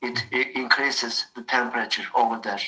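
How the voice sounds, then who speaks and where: A young Turkish man speaks English with a strong Turkish accent and a very bright, sharp tone. He talks in a halting way, filling gaps with "uh" and restarting phrases as he hunts for the right word. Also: medium pitch, fairly even intonation, poor audio. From Turkey. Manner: halting, searching for words, frequent uh. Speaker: mechanical engineer practicing English. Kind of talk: casual conversation practice.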